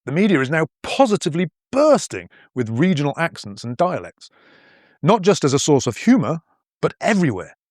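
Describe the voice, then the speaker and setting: A man's voice: fairly high pitch, brisk, no room sound, clean studio sound. A man in his fifties from northern England with a mostly posh English accent that keeps northern traces, narrating an educational podcast in a playful, explanatory way. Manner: playful, explanatory, lively storytelling. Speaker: podcast host and language teacher.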